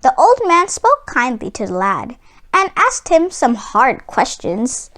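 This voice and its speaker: Female children's read-aloud narrator: very high register, highly animated melody, expressive and deliberate.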